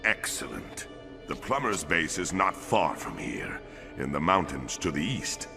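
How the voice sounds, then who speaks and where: Big alien officer: extremely low, solemn, courteous and deliberate, video game, clear music bed. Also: male voice, very animated, heavily acted. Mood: earnest, businesslike. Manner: formal, measured, deliberate wording. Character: courteous, solemn four-armed male alien lawman, big and older. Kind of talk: animated game cutscene acting.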